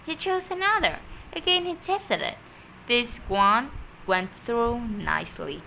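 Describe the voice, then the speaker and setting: A woman's voice: fairly high pitch, big pitch swings, takes it slowly, gravelly, sharp tone, slight background noise, rough recording. A woman reading a children's story aloud expressively, sometimes stumbling over her words. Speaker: storybook reader.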